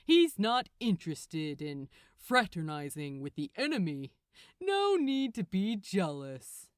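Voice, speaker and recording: Smug, loud archangel man, voiced by a woman. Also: on the low side, big pitch swings, slow, clear, resonant tone, no echo, studio quality. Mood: smug reassurance. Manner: taunting, emphatic, sardonic. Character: smug, condescending male archangel, mocking and impatient.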